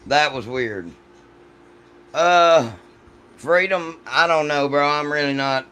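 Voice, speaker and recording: A man with a deep, rough voice chats casually and jokingly with his livestream audience in a folksy, salty way. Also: a bit bright, slight background noise. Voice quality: deep, rough voice from vocal cord polyps. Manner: folksy, joking, foul-mouthed. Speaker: livestream host reading and answering chat.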